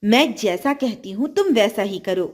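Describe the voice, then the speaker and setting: A woman's voice: medium pitch, expressive, moderate pace, darkish tone, natural voice. A woman voicing a greedy, bossy stepmother in a children's fairy tale, with an insistent, demanding manner.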